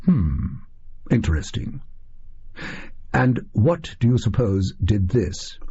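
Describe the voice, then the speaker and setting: A man voicing a brisk, eccentric, self-assured Victorian detective with an English accent and a pedantic, emphatic manner in an audio story. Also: on the low side, very animated, dark tone, breathy edge, muffled recording, exaggerated voice. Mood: brisk, curious.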